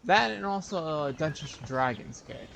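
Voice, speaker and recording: A man livestreaming a game with casual, halting chat, often muttering to himself. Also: a little high, lively intonation, vocal fry, fairly bright tone, a little hiss or hum. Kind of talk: casual gaming stream chat.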